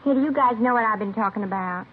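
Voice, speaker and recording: An actress playing a chatty, worried diner waitress in a 1950s radio drama, blunt and informal. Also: female voice, a little high, quick pace, lightly acted. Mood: worried, griping. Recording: noisy, narrow-band vintage radio recording.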